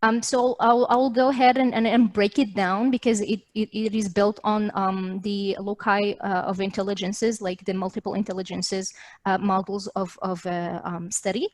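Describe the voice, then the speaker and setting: Young woman w explaining her research on slides, kinda hesitant, rewords stuff, dry sound, medium pitch. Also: steady tone, normal speed, no room sound. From Morocco. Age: young adult. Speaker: doctoral student presenting research. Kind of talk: online academic slide presentation. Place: virtual meeting, video call.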